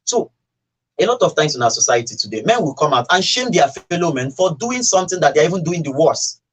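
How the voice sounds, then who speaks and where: Animated Nigerian man, higher-pitched voice. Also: brisk, breathy edge. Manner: animated storytelling, acting out quoted remarks. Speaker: radio talk show speaker. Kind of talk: casual opinionated radio discussion.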